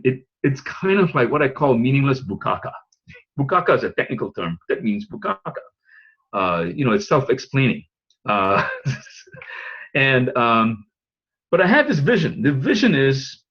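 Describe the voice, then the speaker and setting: A man's voice: mid-range voice, husky, dull audio. A man who grew up in Singapore, presenting a business opportunity to an audience in a loose, rambling way with frequent fillers and light chuckles. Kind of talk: webinar pitch talk.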